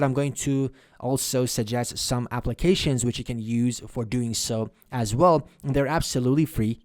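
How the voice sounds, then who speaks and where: A man's voice: medium pitch, darkish tone. Earnest man explaining. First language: Persian. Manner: earnest, repeats and restarts phrases while explaining. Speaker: language-learning vlogger. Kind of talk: casual tutorial monologue.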